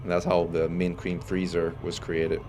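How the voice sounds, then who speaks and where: Deep-voiced man with faint Italian accent. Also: moderate pace. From Italy. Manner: relaxed, chatty, reads chat aloud. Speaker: streamer. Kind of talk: casual gameplay stream chat. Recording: steady background noise.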